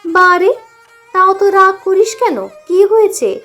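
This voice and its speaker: A woman's voice: very high-pitched, big pitch swings, takes it slowly, sharp tone, pitched above the performer's own voice, exaggerated voice. A woman voicing a blunt, boastful village boy in an audiobook story, with music underneath. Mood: surprised. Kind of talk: audiobook character voice.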